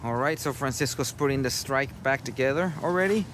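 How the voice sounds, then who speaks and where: A man's voice: mid-range voice, fairly quick, fairly bright tone. Male installer, mid-range voice, informal and explanatory, talking viewers through a job with light work-site noise behind. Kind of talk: casual on-site walkthrough. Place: work site, partly outdoors. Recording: noisy job-site ambience, vehicle noise.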